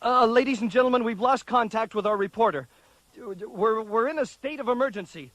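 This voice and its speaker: A shaken male newscaster reads an emergency bulletin in a high voice. He is formal but halting, as in a science fiction radio play. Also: a bit bright, no room sound. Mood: shaken, urgent. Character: shaken male news anchor reporting an invasion. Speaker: news anchor. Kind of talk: emergency news bulletin in audio drama.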